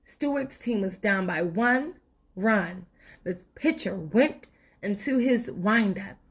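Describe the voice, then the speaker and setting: A woman reading a storybook aloud in an expressive children's narration style, with deliberate dramatic pauses. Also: medium pitch, slightly rough. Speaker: storybook reader.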